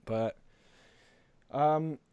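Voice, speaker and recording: A man's voice: a little high, very slow, dark tone, clear, resonant tone, no echo. American man, casual podcast chat, dry close sound. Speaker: podcast co-host. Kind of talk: casual podcast chat about news and games.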